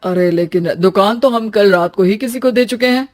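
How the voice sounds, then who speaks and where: A woman's voice: medium pitch, moderate pace, a little mellow, natural voice. A woman voicing a brusque, unbending male shop landlord in a cartoon story. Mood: dismissive.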